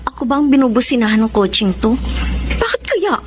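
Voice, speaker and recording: An actress playing an excitable, incredulous young woman in a light radio drama scene. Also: a little high, a little fast, heavy background noise. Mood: puzzled.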